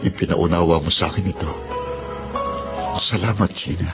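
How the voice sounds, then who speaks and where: A very deep-voiced earnest husband. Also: male voice, fairly even intonation. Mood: remorseful, pleading. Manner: earnest, apologetic, measured delivery. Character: remorseful husband and father, formerly mother-dependent, earnest. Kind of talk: radio drama acting. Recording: dull lo-fi audio under loud music. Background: loud dramatic music.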